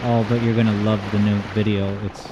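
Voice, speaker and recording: A man hosting a gaming livestream from home, reading viewer comments aloud in a rambling, joking, self-deprecating way, with a smooth voice. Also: lowish voice, brisk, lo-fi sound. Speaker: livestream host. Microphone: streaming mic with boosted EQ. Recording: steady background noise.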